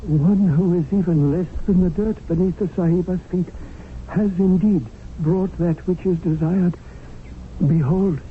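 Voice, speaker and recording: An actor playing a deferential, privately wry Indian manservant who speaks in formal, ornate phrases in a radio drama. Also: male voice, sits high, steady tone, a little slow, a little hiss or hum. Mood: obsequious. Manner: formal, ornate, archaic speech. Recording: tinny, band-limited old radio broadcast, music behind.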